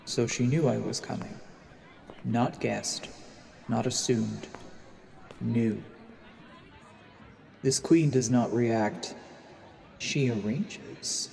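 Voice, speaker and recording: A man playing a coldly calculating assassin who poses as a lord, narrating gravely and deliberately in a fantasy audio drama. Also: medium pitch, a little mellow, full character voice. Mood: brooding, menacing.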